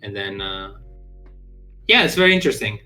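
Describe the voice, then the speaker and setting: A man's voice: lowish voice, big pitch swings, normal speed, bright, forceful tone, soft background music. A man with a Greek accent chatting casually on a game development stream, hesitant and full of fillers and restarts. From Greece. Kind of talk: casual chat on a live stream.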